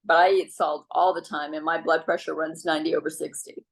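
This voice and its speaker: A middle-aged woman talks through wellness tips in a relaxed, chatty way, pausing on ums. Her voice sits a little low. She is heard over a video call. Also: vocal fry. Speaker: wellness speaker. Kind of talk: casual advice talk on a video call.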